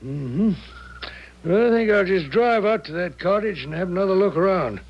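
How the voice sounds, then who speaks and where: An American actor playing a plain-spoken small-town sheriff with a creaky voice, folksy and deliberate, in 1970s radio drama style. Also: male voice, sits high, expressive, lo-fi sound. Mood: pondering.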